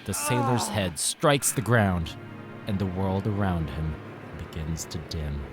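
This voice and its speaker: Low-voiced man narrating horror over music. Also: measured pace. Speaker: storyteller narrator. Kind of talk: dramatic scripted horror narration.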